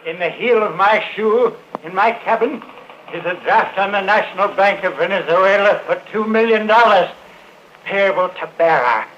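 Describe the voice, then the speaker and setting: A man's voice: sits high, moderate pace, fairly bright tone. An actor playing a wounded, vengeful old rich man in a mid-century radio drama, speaking in a labored, taunting way. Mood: bitter, reproachful. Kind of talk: 1940s-50s radio acting. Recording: muffled, faintly noisy old radio recording.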